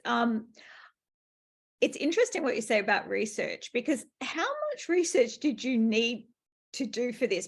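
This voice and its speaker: A woman's voice: medium pitch, gravelly. A woman hosting a casual book interview, asking questions conversationally. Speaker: podcast host.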